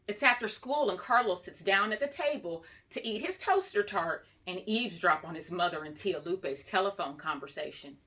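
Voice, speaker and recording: A woman reading a children's book aloud as an expressive storyteller, acting out the dialogue in an animated way. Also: medium pitch. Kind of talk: expressive read-aloud narration. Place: small room at home, indoors.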